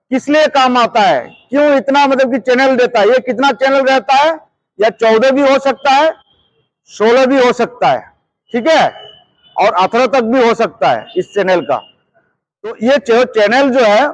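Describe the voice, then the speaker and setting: High-pitched man giving hands-on instruction. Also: dry recording, lo-fi sound. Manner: repetitive, checks understanding often. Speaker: security guard trainer.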